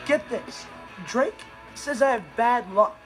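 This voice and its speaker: A man's voice: extremely high pitch, takes it slowly. A young man with an American accent playing a dramatic, easily flustered teenage stepbrother, theatrical and emphatic in a sitcom monologue. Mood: exasperated. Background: loud music.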